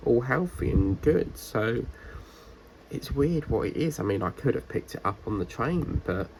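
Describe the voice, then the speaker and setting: A man, a diamond painting vlogger, rambling casually with lots of fillers while his voice is croaky and congested from a cold. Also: medium pitch, lively intonation, unhurried, darkish tone, a little hiss or hum, poor audio. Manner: rambling, chatty, many fillers and restarts. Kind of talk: casual chat while crafting.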